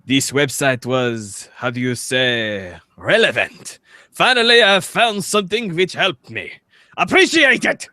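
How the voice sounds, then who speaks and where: A man voicing a stereotypical Frenchman with an exaggerated French accent, reading a comment in halting, theatrical phrases. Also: a little high, sing-song, sharp tone, no echo, clean studio sound, exaggerated voice. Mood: mock-sincere, theatrical. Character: stereotypical Frenchman earnestly praising a website, theatrical. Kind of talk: mock-dramatic reading of a spam comment.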